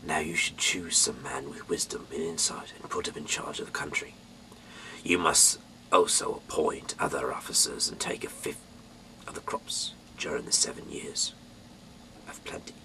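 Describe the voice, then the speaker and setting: A man with a very low, bright-edged voice reads scripture aloud. His delivery is theatrical and halting, moving between emphatic bursts and breathy hushed phrases. There is faint noise behind him. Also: low-quality recording. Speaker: Bible reader, amateur narrator. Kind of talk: dramatic, off-the-cuff reading aloud of scripture.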